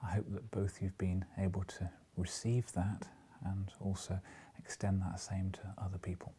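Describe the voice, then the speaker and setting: A British man with a husky, gravelly voice, reading an audiobook and speaking informally in a gentle, unhurried manner. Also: sits low, flat delivery. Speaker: audiobook reader and host. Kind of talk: audiobook narration with informal asides.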